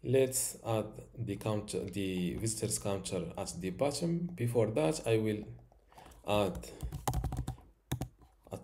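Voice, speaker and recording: Slow, low-voiced man teaching software. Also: expressive, a little hiss or hum. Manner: slow, step-by-step instructions with fillers. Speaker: tutorial host. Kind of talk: screen-recorded software tutorial.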